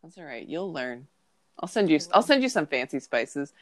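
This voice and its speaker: Congested low-voiced woman. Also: very animated, normal speed, crowd noise. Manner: joking, wry asides. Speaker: podcast co-host. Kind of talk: casual chat.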